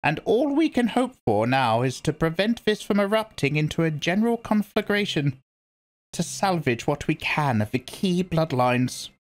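A man reading aloud and voicing a stern, commanding old woman, a religious elder, in a sharp and lecturing manner. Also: fairly high pitch, sing-song, normal speed, pitched above the performer's own voice.

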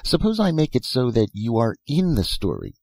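A man's voice: medium pitch, wildly swooping pitch, normal speed, gravelly, darkish tone, husky, no echo, voice pushed up, exaggerated voice. Man acting an eccentric, insistent old bearded professor. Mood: eager. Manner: rhetorical questions in short, insistent phrases. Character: elfin bearded old male professor, eccentric, philosophical inventor.

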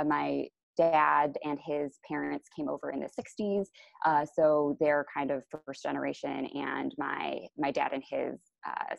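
A young woman from the Chicago area presenting over slides on a video call, relaxed and full of ums and self-corrections. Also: sits low, gravelly, dry recording. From Chicago area, USA. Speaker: panelist. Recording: dull lo-fi video call audio.

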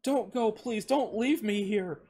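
A man acts out a wounded young man in a dramatic story reading. The voice is pushed high and strained, cracking as he pleads. He is desperate and tearful, begging through gasps, with clear noise behind him. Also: a little slow, dark tone. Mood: tearful pleading. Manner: begging, gasping.

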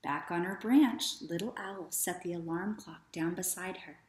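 Woman, thirties, slow expressive storybook narration, echoey living room. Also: fairly low pitch, a little hiss or hum. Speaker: teacher reading a storybook aloud. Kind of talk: children's read-aloud narration. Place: small living room, indoors.

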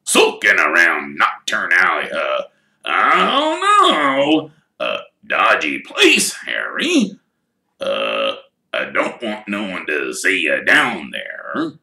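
A towering, gruff gamekeeper with a rough, growling voice that breaks into loud, booming outbursts, as a man puts him on. His pitch swings widely between hesitant fillers and shouts, and the reading carries a roomy echo. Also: huge pitch swings, takes it slowly, exaggerated voice. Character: huge gruff half-giant gamekeeper, big-hearted man. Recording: echoey room.

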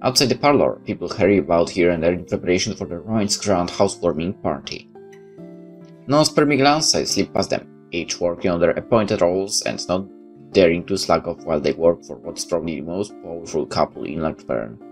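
A man's voice: low-pitched, animated, brisk. A man playing a game on camera-free commentary, reading its narration aloud and adding remarks of his own. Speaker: let's player. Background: game music.

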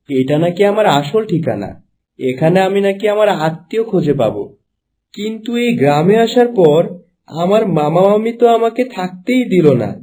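Man voicing a meek, high-pitched orphan boy. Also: mellow, slight background noise. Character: pleading orphaned boy, outcast, kind-hearted and meek. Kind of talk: Bengali animated fairy-tale acting.

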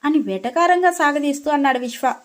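A woman narrates a scripted story. Her voice is high. She reads as a storyteller, linking the characters' dialogue with description. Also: animated, fairly quick.

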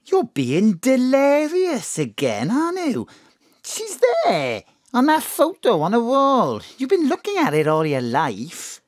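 A woman's voice: a little high, wildly swooping pitch, takes it slowly, no room sound, clean studio sound, full character voice. An actress playing a doting, chatty, slightly daffy middle-aged mother with a Welsh accent, fussing with endearments in an audio drama. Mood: concerned, puzzled. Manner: fussing endearments and tag questions.

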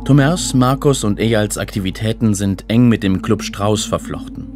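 A man's voice: lowish voice, moderate pace. A man narrating a radio documentary from a script. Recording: music under the voice. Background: music.

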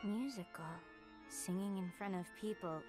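A woman playing an aloof, listless teenage schoolgirl and maid in an anime game trailer, languid and deadpan. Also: mid-range voice, normal speed, faint music behind, lightly acted. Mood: languid, reluctant.

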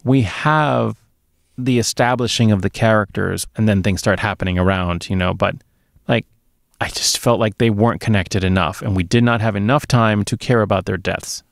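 A man's voice: fairly low pitch, normal speed, gravelly, no room sound. A middle-aged man co-hosting a movie podcast, giving casual opinions close to a studio microphone. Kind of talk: casual opinionated film chat. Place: small dark studio booth. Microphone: close podcast mic on boom arm.

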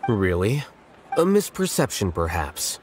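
An actor playing an aloof young scholar in high office, cool, blunt and dry, in a video game. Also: male voice, sing-song, unhurried, a little hiss or hum. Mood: indifferent. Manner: cool, detached, dry, matter-of-fact. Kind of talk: video game character acting. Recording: music behind the voice.

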